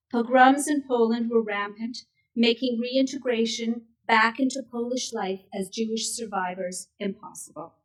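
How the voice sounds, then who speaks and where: Older woman reading a speech, distant mic. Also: on the high side. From Canada. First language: Yiddish. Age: 60s. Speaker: speaker at a memorial event. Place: synagogue event hall. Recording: voice picked up from a distance.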